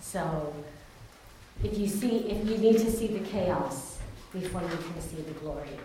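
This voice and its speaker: A woman's voice: on the low side, measured pace. A woman born in Taiwan and raised in California, candidly telling her personal testimony in an earnest, storytelling way. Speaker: speaker giving a personal testimony. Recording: very echoey room, distant mic, faint noise.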